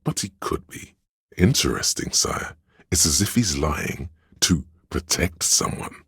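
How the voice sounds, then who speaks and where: Courtly video game adviser, extremely low pitch, gravelly fry, servile, suspicious, measured grandiose delivery. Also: male voice, expressive, fairly bright tone, dry recording, studio quality, exaggerated voice. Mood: sly, appraising, then haughty, suspicious. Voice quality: gravelly, husky. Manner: grandiose, measured, addressing listener as sire. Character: theatrical inner voice of drama, servile, courtly, suspicious male adviser. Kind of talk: theatrical game character voice lines.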